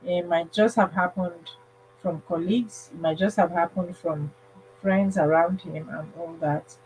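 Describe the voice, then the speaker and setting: A woman's voice: fairly low pitch, fairly even intonation, measured pace, darkish tone, a little hiss or hum, low-quality recording. A woman hosting a casual livestream advice talk, speaking emphatically and repeating phrases for stress. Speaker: livestream host.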